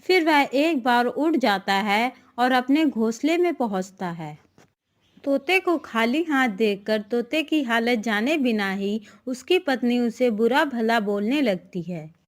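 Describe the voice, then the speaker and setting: A woman's voice: a little high, expressive, slow. A woman narrating a scripted moral tale for a cartoon as the storyteller.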